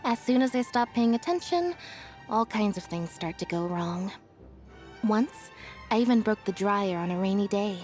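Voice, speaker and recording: Deadpan, reserved young magician's assistant voiced by a woman. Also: medium pitch, close to an everyday voice. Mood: calm, matter-of-fact. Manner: deadpan, understated, measured. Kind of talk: scripted video game character voice lines. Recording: music behind the voice. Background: music.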